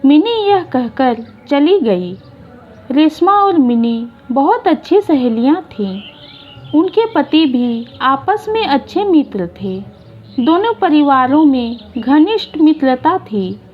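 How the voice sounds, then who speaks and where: A woman narrating a short moral story in an unhurried, gentle reading style. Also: high voice, sing-song, phone-quality sound.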